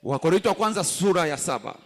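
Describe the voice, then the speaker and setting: A man's voice: a little high, expressive, quick pace. A man with a Congolese Swahili accent interpreting a sermon in short phrases, echoing the preacher. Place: large reverberant indoor room. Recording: reverberant hall.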